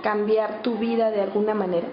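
A woman's voice: mid-range voice, level tone, fairly quick, crowd noise. A woman working as an interviewer and presenter. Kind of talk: interview questions and scripted introductions. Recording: slightly muffled, faint background noise.

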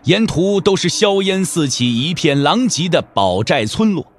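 A man's voice: fairly high pitch, very animated, measured pace, slight background noise. A man giving dramatic scripted narration as the storyteller of a martial-arts audio drama.

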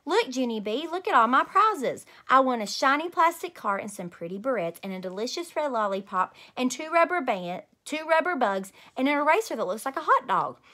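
A woman's voice: high-pitched, big pitch swings, brisk, few pauses, bright, forceful tone, pitched above the performer's own voice, heavily acted. A woman voicing a chatty, eager young girl in a storybook, with an insistent manner. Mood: excited.

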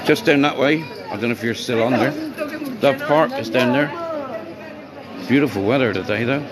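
A man chatting casually as he vlogs his day as a bar worker, rambling and greeting people as he goes, his voice going hoarse. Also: medium pitch, normal speed, a loud crowd. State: voice getting hoarse. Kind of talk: casual walk-and-talk vlog. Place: outdoors on streets by a seafront. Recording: street noise behind the voice.

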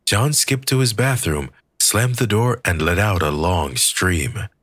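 A man narrating a scripted work of fiction as an audiobook reader, with a husky voice. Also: fairly low pitch, lively intonation, measured pace, fairly bright tone, dry recording, clean studio sound.

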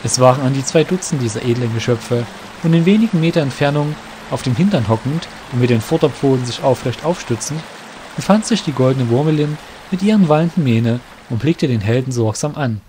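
A man's voice: medium pitch, animated, brisk, noisy background. A man reading scripted narration for a fantasy audio drama as its storyteller. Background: music.

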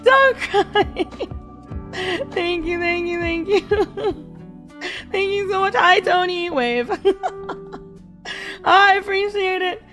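Hoarse, high-voiced woman streamer. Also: extremely slow, long pauses. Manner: bubbly, giggly, thanking viewers. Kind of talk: singing a ballad then casual chat with viewers. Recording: faint piano backing music. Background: soft piano backing during the song.

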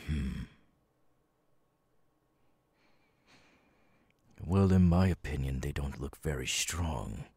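A man voicing a menacing, imperious demon lord, cold and cruel, with a husky, breathy voice, in an ASMR audio roleplay. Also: extremely low pitch, animated, a little mellow, dry recording, full character voice. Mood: cold, haughty. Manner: slow, deliberate, commanding, threatening.

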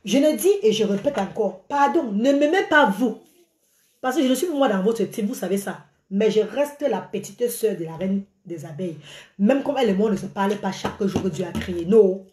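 A woman's voice: medium pitch, normal speed. Woman, middle-aged, exclaiming, phone held at arm's length, echoey room. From Cameroon. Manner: animated and exclaiming, gesturing a lot. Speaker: live-stream vlogger. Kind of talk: live video rant to followers. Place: small indoor room by a curtain.

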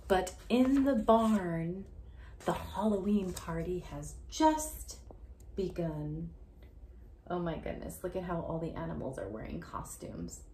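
A woman in her thirties doing an interactive picture-book read-aloud for young children, expressive and sing-song, with hushed asides and questions to the listener. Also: lowish voice, rough recording. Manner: slow, deliberate reading with questions to the listener. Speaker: children's story reader, educator. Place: small indoor room at home. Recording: home recording with faint background noise.

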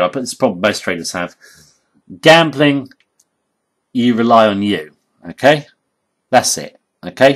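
A hoarse man giving an informal tutorial voice-over, halting and emphatic, often checking in with "okay?". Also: medium pitch. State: hoarse, losing his voice. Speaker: trading educator.

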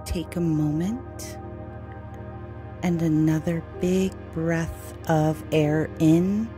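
A woman leading a guided meditation as host, speaking soothingly in short, deliberate phrases with long pauses. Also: sits low, fairly even intonation. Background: music.

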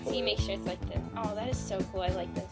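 A young woman chatting casually to camera in an excitable, gushing way, swearing now and then. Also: mid-range voice, fast talker, loud music behind the voice. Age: young adult. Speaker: video blogger. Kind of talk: casual chat to camera while opening a package.

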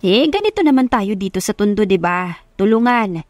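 A woman's voice: mid-range voice, animated, moderate pace, no echo, close to an everyday voice. A woman playing a caring but bossy old neighbourhood woman with a fretting, familiar manner in an audio love story. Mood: warm. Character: fussy, caring old neighbourhood woman who hires helpers.

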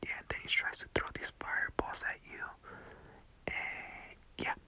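A gaming host speaks entirely in a whisper, with a very bright, hissy edge. The delivery is casual and rambling, circling back over phrases as ASMR commentary on gameplay, picked up close on a headphone mic. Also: measured pace. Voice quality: whispering, unvoiced.

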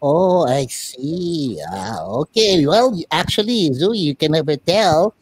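A man voicing a boy puppet who hosts a talk show, interviewing in a courteous, deliberate, conversational way. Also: fairly high pitch, expressive, slightly rough, no echo, heavily acted. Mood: courteous, curious.

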